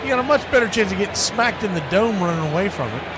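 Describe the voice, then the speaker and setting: A man's voice: high-pitched, animated, quick pace. A man doing live baseball play-by-play commentary, with conversational, colloquial asides between calls. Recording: very noisy background, outdoor-like ambience.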